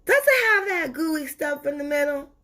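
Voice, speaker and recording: A woman voicing a loud, nagging, candy-crazed tooth in a storybook, whiny, bossy and impatient. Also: high-pitched, expressive, moderate pace, voice pushed up. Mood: demanding.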